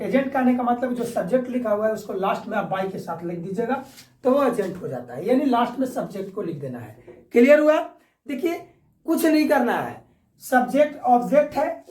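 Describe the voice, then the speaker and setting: A woman's voice: fairly high pitch, darkish tone. A woman with an Indian accent teaching grammar step by step like a classroom lesson, repeating each point and checking understanding. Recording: echoey room, distant microphone.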